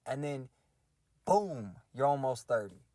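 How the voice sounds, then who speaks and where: A man's voice: medium pitch, expressive, slow pace, mellow, no echo, clean studio sound. A man in his twenties talking loosely and reflectively in a casual solo rant, full of slang. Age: 20s. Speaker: podcast host ranting.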